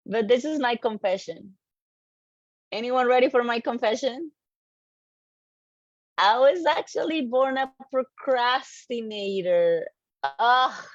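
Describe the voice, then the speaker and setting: High-voiced Colombian woman giving a motivational talk. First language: Spanish. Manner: animated, emphatic, repeats key phrases, acts out reactions. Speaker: motivational speaker and coach. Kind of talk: motivational presentation to a live online audience. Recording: dull, lo-fi online audio, slightly roomy.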